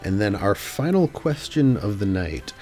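Deep-voiced calm male co-host. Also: measured pace, faint music behind. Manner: calm, thoughtful, short agreeing replies. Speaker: podcast co-host. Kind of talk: casual podcast chat.

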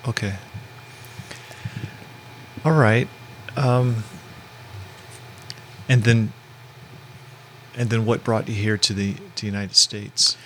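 A man's voice: lowish voice, fairly even intonation, slow, long pauses, fairly bright tone, slight background noise. A male podcast host asking questions in a hesitant way, with many fillers and restarts. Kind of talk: casual interview.

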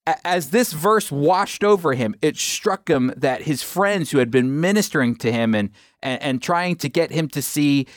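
A man's voice: on the high side, lively intonation, moderate pace, no room sound, clean studio sound. A man giving sermon-like Bible teaching in an emphatic, explanatory manner, repeating phrases for stress.

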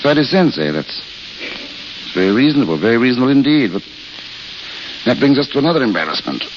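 An actor playing a gentle, penniless wandering writer in a 1930s radio drama, polite, halting and literate. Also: male voice, medium pitch, big pitch swings, lightly acted. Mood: courteous, wistful. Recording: muffled, crackling old radio broadcast.

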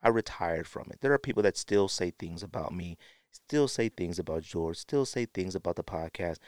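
Middle-aged man, lowish pitch, dry close sound, casual podcast monologue, opinionated, emphatic, repetitive for effect. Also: expressive, moderate pace, no room sound. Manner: emphatic, repeats phrases for effect. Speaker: podcast host.

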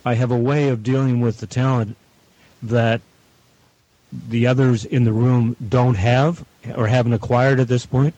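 A man speaking as a guest producer, explaining his work in measured, deliberate phrases. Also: mid-range voice, steady tone, darkish tone, no echo, lo-fi sound. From Canada. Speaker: audio producer and director, guest. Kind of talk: explanatory interview talk.

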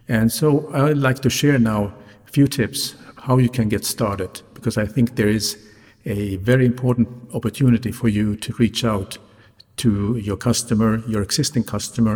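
A man who is a non-native English speaker hosts a solo podcast, articulating carefully and deliberately in a slightly raspy voice. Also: on the low side, darkish tone. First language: not English. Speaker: podcast host, hotelier. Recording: reverberant hall-like sound, music behind.

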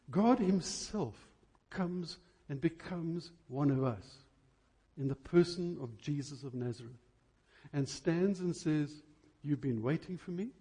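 A man preaching an impassioned church sermon, emphatic, with rhetorical questions and deliberate stresses on key words. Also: fairly high pitch, dark tone.

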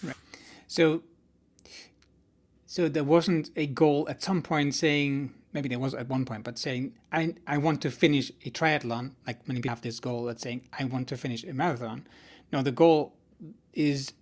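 A man with a mid-pitched voice conducts a conversational interview. He speaks haltingly, thinking out loud as he frames and restates ideas. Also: fairly even intonation. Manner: halting, thinks aloud while summarising. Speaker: podcast interviewer.

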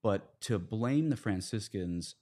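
Low-voiced man in casual discussion. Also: animated, slow, mellow. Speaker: priest and podcast guest.

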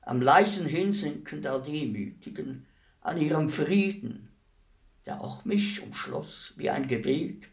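An actor playing a despised, philosophical executioner in a radio play, speaking in a solemn, deliberate, measured monologue. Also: male voice, fairly high pitch, gravelly, heavily acted. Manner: measured, grave, deliberate declamation. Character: despised executioner, calm, philosophical killer addressing his victim.

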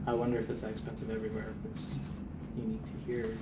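A man's voice: lowish voice, expressive, quick pace. Casual man, distant in noisy room. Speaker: meeting participant. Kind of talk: casual hesitant remarks in a group discussion.

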